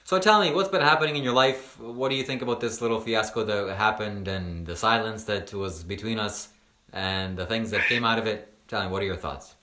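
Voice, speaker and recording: A man's voice: on the low side, brisk, pushed and bright, echoey room. A man in his twenties, a spiritual teacher guesting on a podcast, talking earnestly with many fillers and repeated words. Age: 20s. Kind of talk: casual podcast chat.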